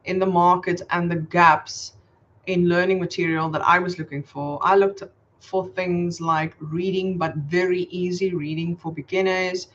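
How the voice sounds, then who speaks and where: A native Afrikaans speaker from South Africa chats on a casual livestream, patiently explaining words and answering viewers. The voice sits at a middle pitch. The audio is a little dull and muffled. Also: a bit bright, slight background noise. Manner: friendly, explaining patiently, reading viewer comments aloud. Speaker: channel host answering viewer questions. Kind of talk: casual livestream chat and language tips.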